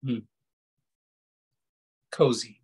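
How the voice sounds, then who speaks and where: A man's voice: very slow, darkish tone. A middle-aged man with a slight foreign accent giving reflective interview answers over a video call, explaining himself hesitantly and often rephrasing. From Egypt, Kuwait and Canada. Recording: husky-sounding video call audio.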